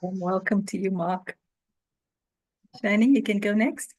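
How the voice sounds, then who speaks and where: A woman hosting an online orientation, speaking slowly and deliberately as she welcomes new members. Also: mid-range voice, a little mellow. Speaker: orientation host. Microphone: video call.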